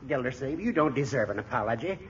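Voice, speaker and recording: An actor playing a courtly, easily exasperated older judge in a 1940s radio comedy. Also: male voice, medium pitch, expressive, fast, lightly acted. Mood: exasperated persuading. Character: courtly older male judge, needling but conciliatory. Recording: muffled, hissy old radio recording.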